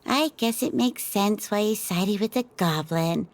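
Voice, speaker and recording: A woman's voice: mid-range voice, measured pace, natural voice. A woman voicing a wild, cocky female fighter who talks in a playful, taunting way. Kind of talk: ASMR audio drama roleplay. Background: background music.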